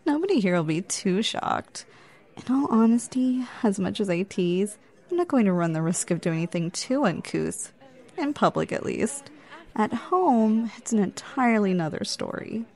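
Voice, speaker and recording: A woman's voice: mid-range voice, expressive, moderate pace, darkish tone. Flirty, teasing woman acting on a date. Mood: sultry, teasing. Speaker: ASMR roleplayer. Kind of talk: ASMR roleplay.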